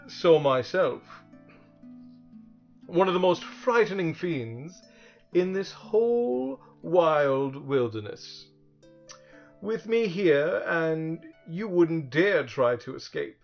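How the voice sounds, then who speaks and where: A man's voice: on the high side, lively intonation, takes it slowly, creaky, lo-fi sound, soft background music, pitched above the performer's own voice, heavily acted. A man voicing a small furry demon from a storybook, a boastful but whiny fake monster with a theatrical, exclamatory manner.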